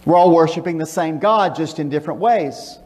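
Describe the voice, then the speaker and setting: An older man preaching from a church pulpit, reading scripture and praying in a measured, emphatic way. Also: fairly high pitch, darkish tone, husky. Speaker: pastor. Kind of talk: sermon with scripture reading and prayer. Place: church sanctuary, speaking from a pulpit. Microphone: pulpit microphone, filmed by a far camera. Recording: long church reverb.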